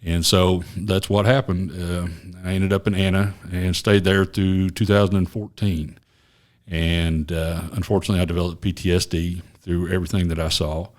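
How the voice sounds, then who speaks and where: A man in his forties from Oklahoma, a retired police officer, telling his story as a podcast guest in a small office studio, rambling and halting with many fillers. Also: sits low, flat delivery. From Oklahoma, USA. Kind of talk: casual interview storytelling.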